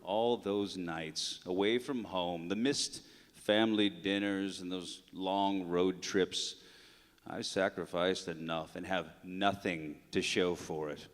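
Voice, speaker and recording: A bitter old recluse for a live radio play. His voice sits low and he grumbles and hesitates, brushing people off wearily. It rings out in a long hall reverb. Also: fairly even intonation, slow. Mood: bitter, dismissive. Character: bitter, weary old widowed man, reclusive and miserly. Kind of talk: live radio drama acting. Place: large town hall before an audience.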